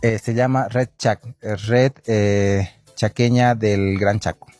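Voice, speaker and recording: A young man from Bolivia answering interview questions haltingly, with many fillers and restarts. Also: on the low side, fairly even intonation, a little mellow, dry recording.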